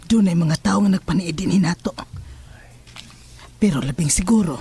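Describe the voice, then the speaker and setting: A woman playing a watchful, fearless middle-aged mother in a horror audio drama, speaking in a grave, measured way that sometimes drops to a hush. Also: fairly low pitch, a little hiss or hum, lightly acted. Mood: grave, wary. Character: watchful, fearless mother figure facing hidden enemies.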